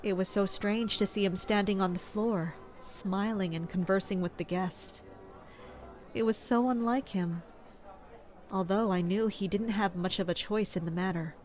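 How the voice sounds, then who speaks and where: A woman playing a guarded, watchful palace servant who narrates a fantasy audio drama in a measured, serious way. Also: medium pitch, steady tone, darkish tone, close to an everyday voice. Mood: observant, composed. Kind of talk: scripted first-person audio drama narration.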